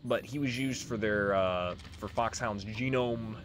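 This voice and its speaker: A man's voice: lowish voice, a little slow, a crowd in the background. A man giving casual, informal let's play commentary as the host of a gaming video.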